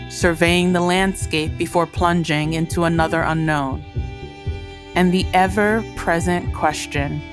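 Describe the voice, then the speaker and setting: A woman host reading scripted narration in a measured, deliberate way. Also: fairly low pitch. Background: music bed.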